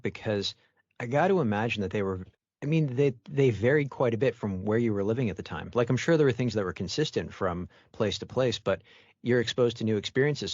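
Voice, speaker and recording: A man's voice: on the low side, husky, dry recording, lo-fi sound. A man hosting an interview podcast, delivering introductions and questions to a guest.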